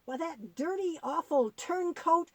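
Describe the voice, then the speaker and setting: A woman's voice: very high voice, expressive, a little slow, dry recording. A woman voicing an eager, curious young boy puppet in a children's puppet show, easily amazed and full of questions. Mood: curious amazement. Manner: wide-eyed, questioning, exclamatory.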